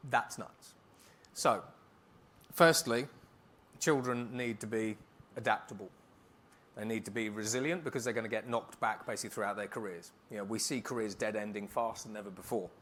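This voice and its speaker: A man speaking as a keynote speaker, answering on stage in a conference hall in a brisk, emphatic way and rattling off lists. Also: lowish voice, a bit bright, slight background noise.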